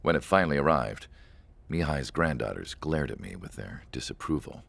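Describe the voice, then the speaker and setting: An actor playing a brooding military research scientist, narrating a game cutscene in a grave, clinical, reflective way with a gravelly voice. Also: male voice, extremely low pitch, animated, moderate pace, heavily acted. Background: loud music.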